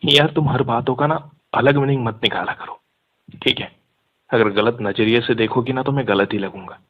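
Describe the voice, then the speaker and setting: A man's voice: medium pitch, moderate pace. A young man in a casual phone conversation, speaking insistently and argumentatively, mixing in English words. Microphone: phone line. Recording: muffled phone call audio.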